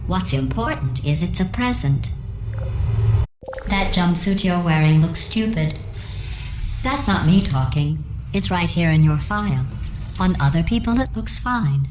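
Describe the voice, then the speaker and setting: A woman voicing a coldly cruel female game AI with robotic processing, deadpan, sarcastic and falsely sweet. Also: on the low side, roomy sound, poor audio. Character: female artificial intelligence running tests, passive-aggressive, coldly cruel. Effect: synthetic robotic processing. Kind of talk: video game character dialogue.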